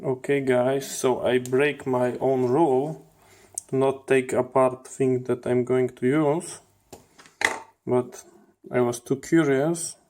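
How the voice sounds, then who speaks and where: A man giving hands-on teardown commentary as a channel host, in halting phrases with repeated words. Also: medium pitch, dark tone. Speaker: host of a radio electronics channel.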